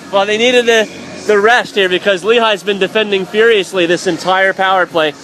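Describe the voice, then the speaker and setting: A man calling live ice hockey play-by-play for radio, excitable and raising his voice on big plays. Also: high-pitched, normal speed, poor audio. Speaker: play-by-play commentator. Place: indoor ice rink broadcast position. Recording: live arena commentary with faint crowd noise. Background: faint crowd and rink noise.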